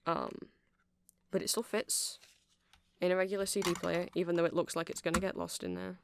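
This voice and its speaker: Creaky-voiced nerdy vampire shop seller, rambling woman acting. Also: mid-range voice, level tone, fairly quick, background noise, close to an everyday voice. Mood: enthusiastic, nerdy. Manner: hesitant, rambling, filler-heavy, self-correcting. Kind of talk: ASMR roleplay, improvised-sounding rambles.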